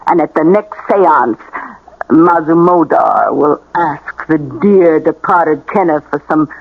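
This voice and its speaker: A woman's voice: low-pitched, slow pace, exaggerated voice. A narrow, tinny radio recording of a scheming lady con artist. She is cool, commanding and calculating, weighing her words in old-time radio drama style. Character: scheming, greedy woman fake medium, cool and bossy.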